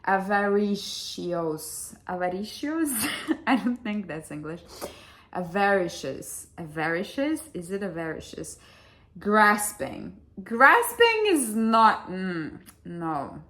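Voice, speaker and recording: A woman's voice: medium pitch, big pitch swings, slow, a bit bright. A young woman with a Russian accent, speaking English as a second language in a blunt, chatty vlog, swearing freely and poking fun at herself. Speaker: vlogger. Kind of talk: casual chat to camera.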